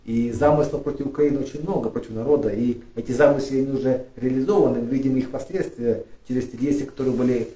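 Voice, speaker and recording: A middle-aged man from Ukraine preaching a sermon, halting with fillers and restarts, rising and emphatic when he reads scripture aloud. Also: mid-range voice, fairly even intonation, mellow. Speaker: pastor. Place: church studio with flags and lit cross. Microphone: far camera. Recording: echoey room, voice from a distance.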